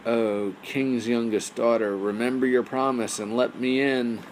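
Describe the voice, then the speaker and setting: A man's voice: mid-range voice, fairly even intonation, measured pace, a little hiss or hum, lightly acted, rough recording. Man voicing a pleading, insistent talking frog.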